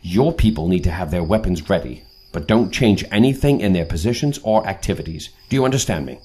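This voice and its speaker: An actor playing a self-assured, punctual leader of secret agents in an audio drama, formal and commanding. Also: male voice, deep voice, lively intonation, brisk, dark tone, breathy edge.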